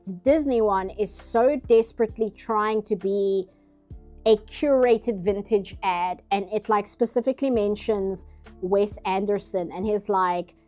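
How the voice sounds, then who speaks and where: A woman's voice: mid-range voice, unhurried, mellow, soft background music. A nasal-sounding woman streaming herself painting, chatting casually in rambling asides. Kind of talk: casual chat while drawing.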